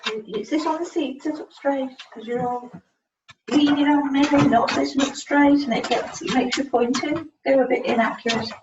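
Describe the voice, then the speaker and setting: A woman giving a one-to-one lesson, coaxing and prompting, repeating words deliberately and spelling out letters one by one on a video call. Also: high voice, steady tone. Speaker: communication support partner helping a learner spell out words. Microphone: video call, away from the microphone. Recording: echoey room, muffled distant mic, faint noise.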